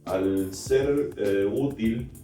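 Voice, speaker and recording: A man's voice: fairly low pitch, extremely slow, mellow. An Argentine man hosting a casual, chatty interview. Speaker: interviewer, host. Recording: roomy, slightly distant mic, faint music.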